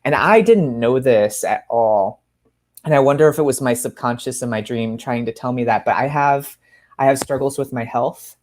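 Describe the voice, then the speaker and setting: A man's voice: mid-range voice, normal speed, a little mellow. Thoughtful man, a guest author talking candidly. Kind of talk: conversational interview about writing.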